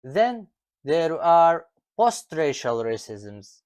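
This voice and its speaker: Author, native Arabic speaker, male, slightly high voice, deliberate lecture-like delivery, dry close sound. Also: sing-song, a little mellow, dry recording. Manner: deliberate, lists items slowly with falling ends. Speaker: author presenting his book. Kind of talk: measured explanatory talk.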